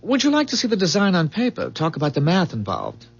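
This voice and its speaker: An actor playing an earnest, idealistic inventor in a 1970s radio drama, explaining things in a precise, formal way with some hesitant stammers. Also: male voice, fairly high pitch, wildly swooping pitch, quick pace, vocal fry, slightly rough, soft background music, full character voice. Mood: eager. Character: earnest, idealistic male inventor, proud of his revolutionary engine. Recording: dull, muffled 1970s radio broadcast recording.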